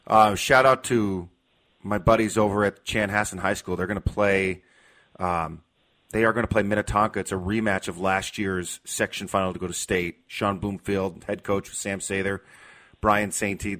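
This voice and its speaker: A man with a fairly deep American voice hosts a sports talk show. He speaks casually and informally, with open enthusiasm for what he is discussing. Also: fairly bright tone. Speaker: sports radio host.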